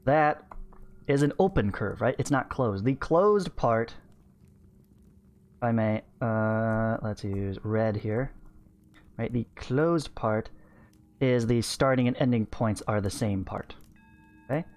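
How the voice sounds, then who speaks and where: A man's voice: medium pitch, takes it slowly, pauses often, darkish tone. A man in his thirties teaching math, reading a textbook aloud and adding informal explanatory asides into a close microphone. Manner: informal, explanatory, often checking with "right?" and "okay". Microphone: close studio microphone on a stand.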